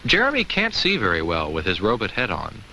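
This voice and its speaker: Bright, lively talking jack-o'-lantern. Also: male voice, sits low, brisk, creaky, exaggerated voice. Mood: gently cautioning. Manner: simple, instructive, addresses children with questions and chuckles. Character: talking jack-o'-lantern, cheerful, kindly, safety-minded narrator. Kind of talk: 1980s educational film narration for children. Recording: faintly noisy old educational film soundtrack.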